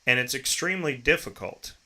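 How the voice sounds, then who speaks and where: A man's voice: medium pitch, animated, brisk, bright, forceful tone. An American man in his thirties hosting a show with a motivational personal monologue, speaking emphatically and repeating phrases and rhetorical questions. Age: 30s. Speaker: show host.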